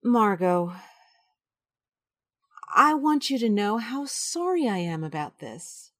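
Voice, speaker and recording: An actress playing a guilty, scheming well-bred wife who confides in a measured, wry way in a radio drama. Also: female voice, medium pitch, big pitch swings, no echo, studio quality. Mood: uneasy, soothing. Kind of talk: radio drama acting with confessional narration.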